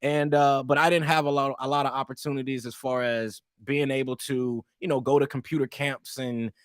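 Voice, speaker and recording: A young man from East St. Louis, talking loose and easygoing as an interview guest. He keeps circling back, restarting sentences and dropping "man" every few lines. His voice sits at an everyday pitch and sounds close and dry, with no room around it. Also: brisk, smooth, dry recording. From East St. Louis, Illinois. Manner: relaxed, rambling, peppered with "man" and restarts. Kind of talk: casual interview storytelling.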